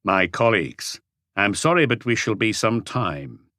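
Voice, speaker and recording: Man playing a calm, terse police inspector, low-pitched. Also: no room sound, studio quality, full character voice. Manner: measured, unhurried, laconic. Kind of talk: audiobook character voice.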